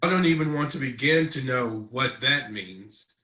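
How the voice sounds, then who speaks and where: A man's voice: mid-range voice, lively intonation, normal speed, sharp tone. A man playing a jaded, dismissive district attorney in a drama table read, recorded in an echoing room. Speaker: actor in a web series table read. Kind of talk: scripted drama read aloud.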